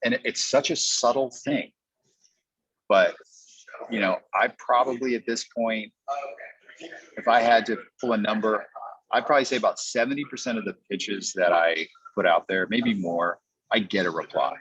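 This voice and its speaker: Deep-voiced man coaching, dull audio. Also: moderate pace, a bit bright, breathy edge. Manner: explanatory, acts out example pitches in quotes. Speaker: podcast coach. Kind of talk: conversational training talk.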